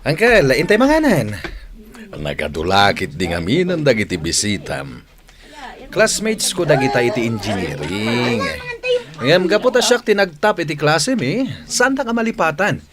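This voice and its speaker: A man's voice: fairly high pitch, sing-song, moderate pace, a crowd in the background. A man acting a hearty, confident family man in an Ilocano radio drama. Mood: confident, reassuring.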